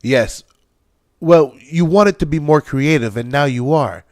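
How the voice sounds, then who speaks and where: A man playing an earnest male scientist in an audio drama, explaining things in deliberate phrases. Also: on the high side, no room sound, studio quality, close to an everyday voice. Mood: firm, explaining.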